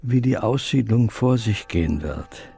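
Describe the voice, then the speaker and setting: A man acting a humble, deferential middle-aged pastry baker in a radio play, meek and polite, with a creaky voice. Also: on the low side, lively intonation, unhurried, mellow, exaggerated voice. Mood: meek, anxious.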